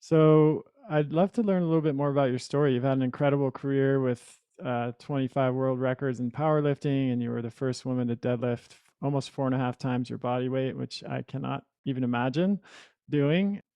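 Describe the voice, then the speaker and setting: A man hosting a health podcast, reading a scripted introduction and then asking questions in a measured, friendly way. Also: mid-range voice, fairly even intonation, dark tone, smooth, no room sound, muffled recording. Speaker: podcast host.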